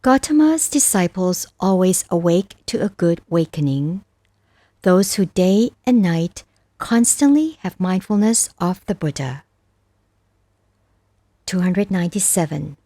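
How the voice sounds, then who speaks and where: A woman reading scripture as scripted narration, reciting verses with measured, deliberate phrasing. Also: fairly low pitch, dark tone, no room sound. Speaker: narrator of a Buddhist scripture audiobook.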